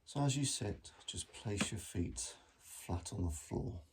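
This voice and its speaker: A man guiding a meditation in a gentle, measured manner with long pauses between phrases. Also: lowish voice, expressive, background noise. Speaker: mindfulness meditation teacher. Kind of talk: guided body scan meditation.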